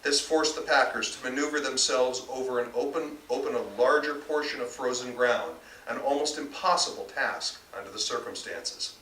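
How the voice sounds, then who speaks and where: A man's voice: medium pitch, normal speed, hardly any pauses, sharp tone. A man reading his own literary fiction aloud at a live author event. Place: bookstore reading. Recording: roomy, distant mic, faint background noise.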